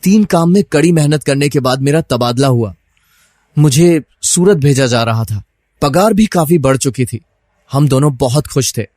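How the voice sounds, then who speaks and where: A man's voice: medium pitch, lively intonation, moderate pace, a little mellow, dry recording, clean studio sound, close to an everyday voice. A man acting as a troubled, suspicious husband who narrates his own story in a dramatized first-person style. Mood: nostalgic.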